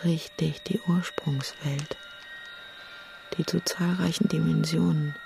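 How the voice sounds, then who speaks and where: An actress playing a resolute, analytical heroine in a literary radio drama. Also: female voice, low-pitched, steady tone, slow pace, gravelly, full character voice. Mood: determined. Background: music.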